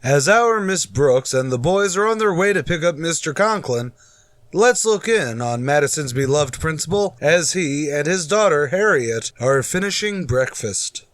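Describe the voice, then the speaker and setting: A genial male announcer, played by an actor narrates in old-time radio comedy style. His voice is slightly high and projected, in a dry recording. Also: animated, unhurried, fairly bright tone, no echo.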